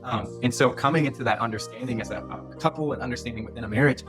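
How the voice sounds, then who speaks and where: A young man chatting as a guest on a podcast, in a voice of ordinary pitch. He rambles and circles back, restarting his sentences as he goes, in an easy conversational way. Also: fast talker, over music. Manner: rambling, restarts phrases often.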